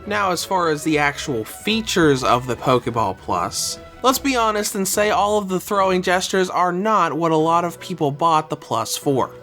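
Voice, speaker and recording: Guy reviewing a controller, kinda under the weather, pitch jumps all over, bit of music behind. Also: fairly bright tone. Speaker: game reviewer. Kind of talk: scripted product review narration.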